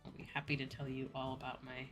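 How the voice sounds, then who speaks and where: A woman's voice: rumbling low, monotone, fast talker, a bit bright. A woman chatting casually to her livestream viewers in a rambling way, peppered with "like" and casual swearing. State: sleepy. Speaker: VTuber livestreamer; school teacher. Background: music behind the voice.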